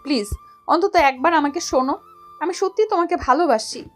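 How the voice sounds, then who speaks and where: Woman acting remorseful young sweetheart, high-pitched, pleading, earnest, romantic audio story, soft music behind. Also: a little fast, close to an everyday voice.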